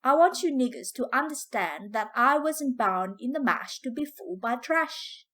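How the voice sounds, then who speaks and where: A woman's voice: high-pitched, expressive, normal speed, fairly bright tone. A woman playing an older former slave from the American South, proud, fiery and grieving, telling her story in folksy dialect. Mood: proud, indignant. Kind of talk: dramatic first-person storytelling in dialect.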